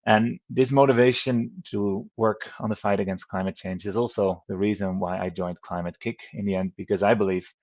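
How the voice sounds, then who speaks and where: A man's voice: lowish voice, steady tone, normal speed, few pauses, a little mellow, muffled recording. A man in his thirties from the Netherlands, moderating an online event with friendly, rambling talk full of fillers.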